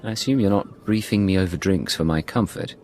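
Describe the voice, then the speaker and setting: A suave secret agent played by a man in a sci-fi video game. He speaks in a deep voice, confident and dry, a smooth talker, with faint music behind. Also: a little fast, darkish tone, close to an everyday voice. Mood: assured, dry. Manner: smooth-talking, assured, dry.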